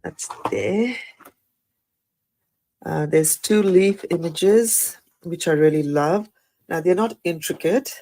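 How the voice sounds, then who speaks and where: Aussie lady a bit croaky from the flu, low mellow voice, lots of ums while she shows craft bits. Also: takes it slowly, pauses often. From Australia. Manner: chatty, hesitant, many fillers and apologies. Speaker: craft demonstrator. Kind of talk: live card-making tutorial.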